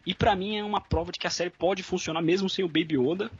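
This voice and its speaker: High-voiced Brazilian man recapping a show. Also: steady tone, fast talker, breathy edge. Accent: Brazilian Portuguese. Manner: rambling retelling with fillers. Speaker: podcast co-host. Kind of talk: casual chat recapping episodes. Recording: muffled recording with faint music.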